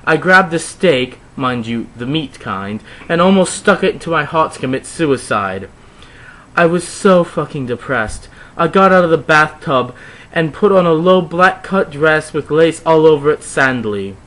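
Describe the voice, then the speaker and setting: A man's voice: on the high side, expressive, normal speed, fairly bright tone, low-quality recording. A man doing a wry, theatrical dramatic reading of a comically bad story, with mocking asides as narrator. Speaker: narrator reading fan fiction aloud.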